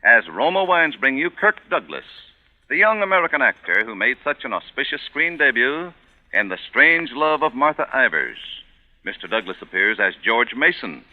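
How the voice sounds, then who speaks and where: A man delivers a polished, emphatic sponsor script in the style of 1940s radio. His mid-pitched voice moves up and down a lot, on a muffled old broadcast. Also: sing-song, normal speed. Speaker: radio announcer.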